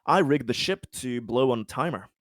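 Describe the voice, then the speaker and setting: A man's voice: mid-range voice, lively intonation, moderate pace, dry recording, studio quality. A man playing a tabletop RPG, casually recapping his character's schemes in a rambling, sweary, self-amused way.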